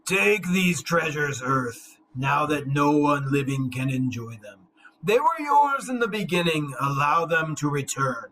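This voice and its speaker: A man voicing the grieving last survivor of a noble race, reciting an elegy slowly and solemnly. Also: on the high side, fairly bright tone, slight background noise, exaggerated voice, rough recording. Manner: slow, solemn, elegiac. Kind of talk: dramatic epic poetry reading.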